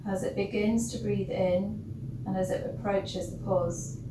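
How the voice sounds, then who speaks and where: A woman guides a meditation in a gentle, very unhurried way, with long pauses between short phrases. Her pitch is average for a woman. She is heard from a distance in a roomy, echoing space, with clear noise behind her. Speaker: yoga or meditation teacher. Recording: roomy, distant mic with loud background noise.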